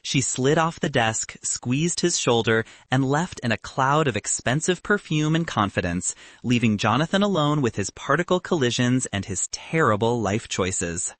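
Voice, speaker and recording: A man reads comic fiction in a lively, swinging intonation. His narration is wry and scripted, recorded very dry and close, audiobook style. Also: medium pitch, moderate pace, few pauses, no room sound.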